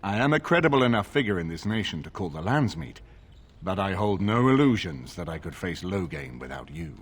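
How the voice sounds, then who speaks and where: A grave, older nobleman from a fantasy game. His low voice moves expressively across a wide range, with a husky edge. He speaks formally, with stern authority. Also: very animated, a little fast, full character voice. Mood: grave, reasoning. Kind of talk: video game dialogue acting.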